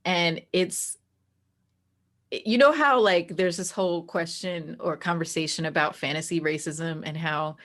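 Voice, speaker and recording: A woman with a slightly low voice chats as an interview guest. She tells things in an animated, informal way, like a game master recounting stories to a friend. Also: measured pace. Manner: animated storytelling that acts out quoted dialogue, frequent "like". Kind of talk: casual chatty interview.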